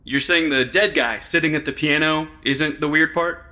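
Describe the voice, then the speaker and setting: A man's voice: fairly high pitch, moderate pace, sharp tone. A man playing a sarcastic, exasperated sidekick in a comedy audio drama. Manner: wisecracking, sarcastic.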